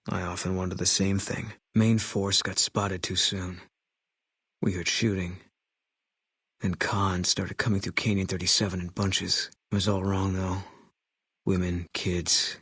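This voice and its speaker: An actor playing a terse, guilt-haunted ex-army sniper in a video game, deadpan and clipped, with a low husky voice. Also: male voice, a little slow, dry recording, clean studio sound, exaggerated voice. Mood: grim, haunted. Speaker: video game companion character.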